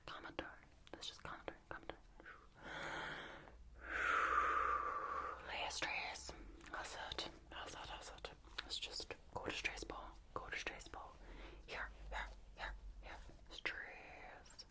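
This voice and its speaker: A woman's voice: medium pitch, little pitch movement, fairly quick, natural voice. A woman voicing a frazzled character who whispers and rambles repetitively in an ASMR role-play. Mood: soothing. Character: frazzled woman whispering about a burst stress ball.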